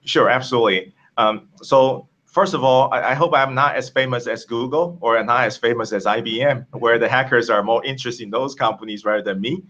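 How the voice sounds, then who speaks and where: A man from Taiwan, a tech company founder, answering interview questions over a video call in a halting way with many fillers. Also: medium pitch, fairly bright tone, muffled recording.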